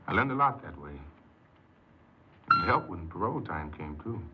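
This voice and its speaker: An actor playing an earnest, insecure paroled ex-convict who tells his story haltingly in a 1950s radio drama. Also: deep voice, very animated. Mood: subdued, reflective. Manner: hesitant, halting, repeats words. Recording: noisy muffled 1950s radio recording.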